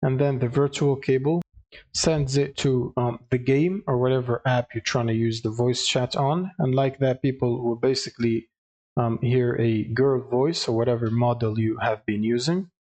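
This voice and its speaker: A man with a mid-pitched voice walks through a software tutorial. He explains casually, step by step, pausing a little hesitantly as he goes. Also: steady tone, muffled recording. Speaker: tutorial presenter.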